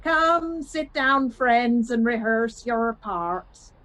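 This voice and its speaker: A woman plays an earnest and fussy leader of amateur players. The voice sits high and takes its time, giving deliberate instructions in Shakespearean radio-drama style. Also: lively intonation, a bit bright, heavily acted, low-quality recording. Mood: organising. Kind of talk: Shakespeare radio play acting.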